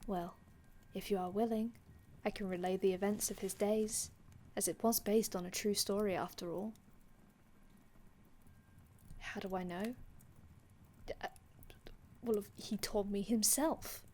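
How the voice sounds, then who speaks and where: A woman's voice: medium pitch, fairly even intonation, a little slow, long pauses, a little mellow, close to an everyday voice. A woman playing a courteous, ancient vampire healer in an ASMR roleplay, speaking formally and reassuringly with archaic phrasing and small stammers. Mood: soft, reflective. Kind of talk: ASMR roleplay, addressing the listener.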